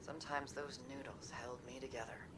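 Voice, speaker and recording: A woman's voice: very deep voice, fairly even intonation, normal speed, background noise. A woman voicing a tough, blunt female soldier in a video game cutscene, plainspoken and candid. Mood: earnest.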